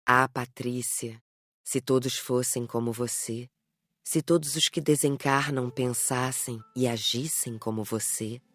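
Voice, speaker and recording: A woman's voice: a bass voice, fairly even intonation, measured pace, fairly bright tone, no echo, studio quality, heavily acted. A woman playing an elderly, affectionate grandmother in an audiobook, tender and wistful. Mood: fond, wistful. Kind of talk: dramatized audiobook reading.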